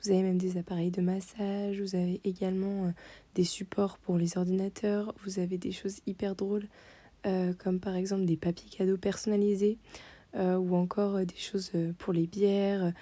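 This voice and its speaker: A young woman talks over a site tour in a relaxed, mellow voice, pausing on fillers as she goes. The recording is dry and close. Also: mid-range voice, no room sound. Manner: hesitant, many "euh" fillers. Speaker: vlogger doing screen-tour voice-over. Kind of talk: casual voice-over.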